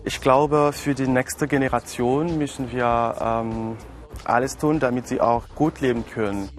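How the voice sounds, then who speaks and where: Cameroonian-accented male student, early twenties, medium pitch, light music bed. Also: unhurried. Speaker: student contestant. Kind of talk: casual self-introduction.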